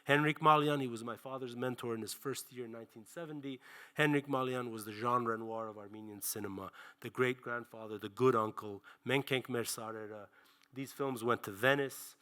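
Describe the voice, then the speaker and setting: A man's voice: mid-range voice, fairly even intonation, a little fast, sharp tone, smooth. A man in his forties giving a passionate public film lecture, speaking emphatically and rhetorically, stressing key words in repeated phrases and lists. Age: 40s. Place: library auditorium, speaking to an audience.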